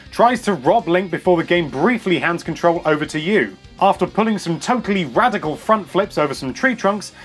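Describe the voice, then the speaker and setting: A British man with a fairly high-pitched voice presents a scripted countdown. His delivery is enthusiastic and cheeky, like a keen video host. Music plays softly behind him.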